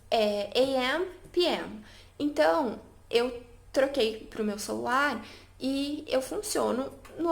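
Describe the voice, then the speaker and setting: A woman chatting casually to camera about learning languages, with many fillers and an upbeat, talkative manner. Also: high voice. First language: Portuguese. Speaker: language vlogger.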